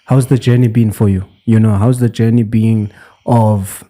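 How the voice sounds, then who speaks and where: A man's voice: fairly low pitch, steady tone, normal speed, dark tone. A man hosting a podcast in a studio, chatty and teasing, with frequent fillers as he asks questions. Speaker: podcast host. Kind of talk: casual interview.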